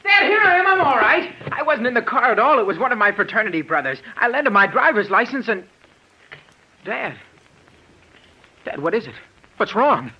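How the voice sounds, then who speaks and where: A very high, swooping young man's voice, an anxious college son calling to his father. It is husky and theatrical, in a muffled old radio recording. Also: big pitch swings, normal speed, pauses often, exaggerated voice. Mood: worried, panicked. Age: young adult. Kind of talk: 1940s radio acting.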